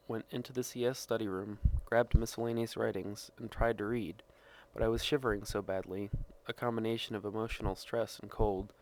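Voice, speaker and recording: A man's voice: on the low side, a little mellow, a little hiss or hum, low-quality recording. A man reading a written personal story aloud. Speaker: reader of personal testimony.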